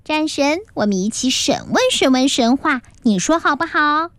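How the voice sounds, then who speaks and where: A woman's voice: high voice, lively intonation, normal speed, a bit bright, dry recording. A woman voicing a pouty, teasing young child who turns whiny and squealing, in a children's story. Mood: eager. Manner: whiny, squealing when upset.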